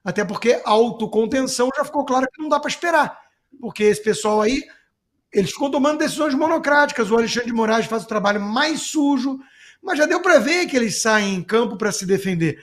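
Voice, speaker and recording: A man's voice: very high-pitched, lively intonation, fairly quick, fairly bright tone. A man with a Brazilian accent giving off-the-cuff political commentary, sarcastic, emphatic and colloquial. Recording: music playing behind the voice. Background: music.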